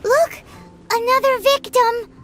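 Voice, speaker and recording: A woman voicing a small excitable fairy companion who refers to herself in the third person, in a game cutscene. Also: extremely high pitch, takes it slowly, faint music behind, full character voice. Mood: chatty. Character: tiny floating fairy companion, chatty and cheeky. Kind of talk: video game voice acting.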